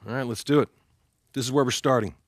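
A man's voice: medium pitch, fast, dry recording, clean studio sound, lightly acted. A man playing a middle-aged athletic trainer in a video game, with an American accent and a firm, matter-of-fact coaching manner. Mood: instructive. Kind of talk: naturalistic video game cutscene acting.

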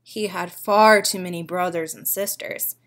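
A young woman reading a picture book aloud to small children like a preschool teacher, expressively and often pausing to ask them questions. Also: lowish voice, fairly even intonation, a bit bright. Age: young adult. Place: living room at home.

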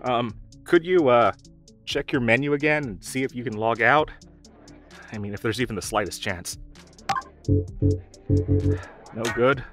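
Guy acting as dorky young male best friend, awkward stammery gamer type, mid pitch, audio roleplay w music behind. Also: normal speed, a bit bright, close to an everyday voice. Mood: anxious, relieved. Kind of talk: audio roleplay drama, conversational.

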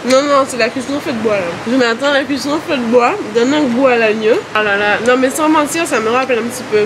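Expressive high-voiced woman, noisy. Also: quick pace. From Guadeloupe. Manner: expressive, emphatic, informal. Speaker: food vlogger, co-host. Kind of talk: casual vlog chat, tasting food.

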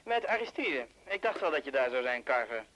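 An actor playing a suave, shrewd Interpol official in a radio drama, measured, urbane and dryly ironic. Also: male voice, medium pitch, very animated, pushed and bright. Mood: smooth, stern. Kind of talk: Dutch radio drama acting.

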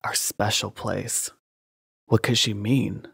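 A man's voice: on the low side, steady tone, takes it slowly, vocal fry, fairly bright tone, husky, dry recording. A man, a voice actor, giving a dramatic scripted reading in a close studio-style recording. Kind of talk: dramatic scripted narration and acting demo.

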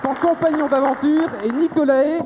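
A man's voice: very high voice, fairly even intonation, moderate pace. A man in his thirties hosting a television game show, chatty and upbeat as he quizzes the contestants. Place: TV studio set. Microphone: handheld mic. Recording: reverberant studio, faint tape hiss.

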